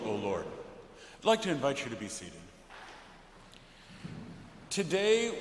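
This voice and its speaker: A man, a pastor, speaking solemnly and deliberately from a church pulpit in a liturgical setting. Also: on the high side. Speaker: Lutheran pastor. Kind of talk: liturgical scripture reading. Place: large church interior, from the pulpit. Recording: echoey church with long reverb.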